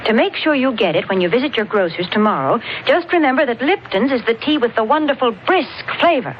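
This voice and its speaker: A woman pitching a product in chirpy, folksy 1940s radio ad style on an old recording. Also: lively intonation, a little fast, dull audio, noisy background. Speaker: radio commercial announcer.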